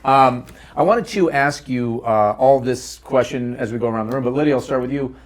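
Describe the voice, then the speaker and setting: A man's voice: mid-range voice, normal speed. A man hosting a casual interview, chatting in a joking, teasing way like a comedian. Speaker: interview host; stand-up comedian.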